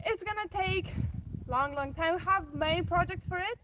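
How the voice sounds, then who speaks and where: High-voiced young woman, Greek native. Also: normal speed, background noise. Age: 20s. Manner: chatty and giggly, trailing off mid-sentence. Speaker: co-host of a homestead livestream. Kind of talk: casual livestream chat. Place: rural farmstead, outdoors then indoors.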